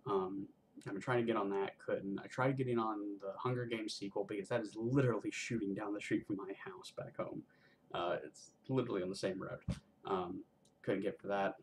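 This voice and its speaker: A man's voice: lowish voice, fairly even intonation, brisk, a little hiss or hum, rough recording. A man of twenty-one chatting casually in a vlog, rambling with lots of ums and restarts, making self-deprecating jokes. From Georgia, US. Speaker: vlogger, college student filmmaker.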